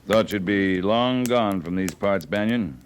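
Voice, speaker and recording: An actor playing a terse, steady cavalry captain in an old-time radio western, with a laconic, understated delivery. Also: male voice, fairly low pitch, measured pace, a little hiss or hum, lightly acted. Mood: guarded, casual.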